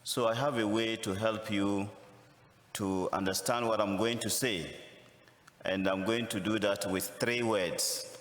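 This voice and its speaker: A man with a Ghanaian accent, a visiting priest giving a missionary appeal homily, speaking slowly and deliberately with touches of humor. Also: fairly low pitch, fairly even intonation, fairly bright tone. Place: large church. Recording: echoey church from a distance.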